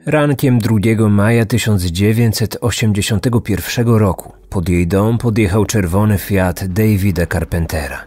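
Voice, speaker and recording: A man's voice: on the low side, moderate pace, hardly any pauses, a little mellow, breathy edge. A man in his thirties hosting and narrating a scripted true crime podcast. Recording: music behind, some reverb. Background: music.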